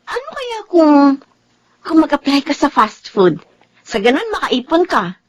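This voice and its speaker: A woman acting a concerned, practical young friend in a Filipino radio drama, with an animated, expressive delivery. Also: high voice, a little slow. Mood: thoughtful, sympathetic.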